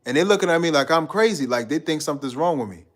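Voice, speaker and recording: A man's voice: a little high, lively intonation, fast talker. Easygoing man chatting with his stream viewers. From Guyana. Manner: loose, rambling, slangy, talks to viewers directly. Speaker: livestream host. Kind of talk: casual live chat, reading comments.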